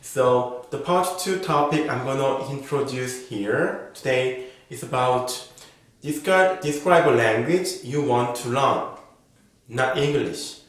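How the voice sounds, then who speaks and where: Slow, careful man in an echoey room. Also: medium pitch. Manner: slow, careful, model-answer delivery. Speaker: IELTS speaking teacher. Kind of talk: reading sample exam answers as a lesson.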